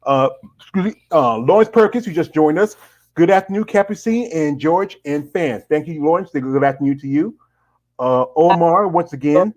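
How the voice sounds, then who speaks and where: A man's voice: a little high, animated, moderate pace, a little mellow. A middle-aged man hosting a casual live-stream interview and reading out viewer comments, rambling and leaning on "you know" fillers. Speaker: host.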